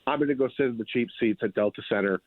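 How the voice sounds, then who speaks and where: A man's voice: medium pitch, rapid-fire, no room sound. Man, phone-line sound, dry, emphatic sports talk, guest writer, repeats phrases for punch. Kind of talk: conversational sports commentary.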